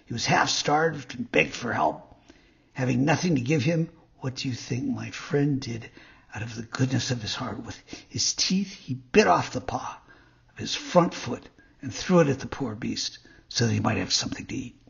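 A man voicing a sly fox swindler in a storybook, wheedling and theatrical, feigning gentlemanly sympathy. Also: mid-range voice, moderate pace, gravelly, husky, dull audio, full character voice. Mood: mock pity.

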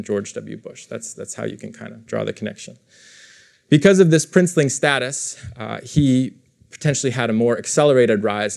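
Man, gravelly, mid-pitched, lecturing, explanatory, restarts his sentences. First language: English. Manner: explanatory, self-correcting, with frequent fillers and repeats. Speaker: professor giving a lecture. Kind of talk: academic lecture to a live audience. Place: lecture room.

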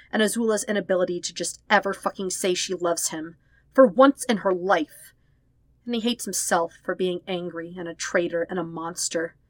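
A woman narrates a piece of fiction in a slightly low register. Her delivery is expressive, putting weight on emotional words. The recording is dry, with hardly any room sound around the voice. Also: dry recording. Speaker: fan fiction podfic reader. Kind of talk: scripted story narration.

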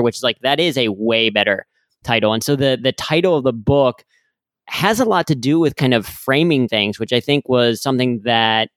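A man's voice: medium pitch, fairly bright tone, dry recording. A man co-hosting a podcast, chatting casually and self-deprecatingly with a laugh in his talk. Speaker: podcast co-host.

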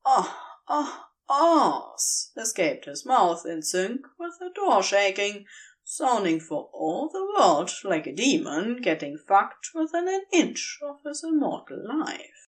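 Female fiction reader, slow and deliberate, with dramatic, animated swings in delivery. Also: mid-range voice. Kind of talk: expressive scripted story narration.

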